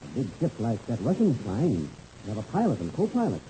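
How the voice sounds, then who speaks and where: An actor playing a confident, shrewd mentor pilot in a 1930s radio adventure serial, brisk and colloquial, on a noisy old recording. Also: male voice, medium pitch, wildly swooping pitch, dark tone. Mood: puzzled, wary.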